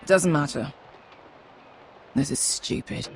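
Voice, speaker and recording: An actress playing a tough, blunt, wounded mercenary woman in a video game, gruff and bitter in manner. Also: deep voice, takes it slowly, a little mellow. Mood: troubled. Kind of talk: dramatic video game voice acting. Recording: faint background ambience noise.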